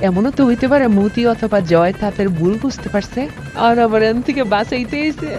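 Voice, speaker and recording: A woman's voice: fairly high pitch, animated, a little fast, lightly acted. A woman voicing a power-hungry, scheming old woman in a comic cartoon, theatrical and cackling, with a Bangladeshi accent. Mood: panicked. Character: scheming, power-hungry old woman, ousted ruler turned ghoulish hunter. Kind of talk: exaggerated comic cartoon voice acting. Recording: loud music under the voice.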